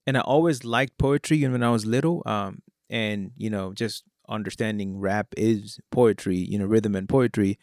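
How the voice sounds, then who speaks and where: A man's voice: lowish voice, normal speed, few pauses, no room sound, studio quality. Man with a mixed Indian-British-American accent. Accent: Indian English with British and American traces. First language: Hindi. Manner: relaxed and conversational, fillers like "you know" and "like". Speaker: rapper and music producer. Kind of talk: casual interview answers. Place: Oklahoma.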